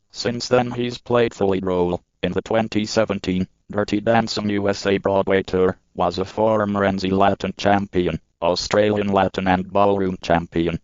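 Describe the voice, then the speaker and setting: A man with a low, flat voice narrates a news feature at an even, measured pace. His tone is smooth and clean, recorded dry with a slightly dull, muffled sound. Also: dry recording. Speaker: news reporter.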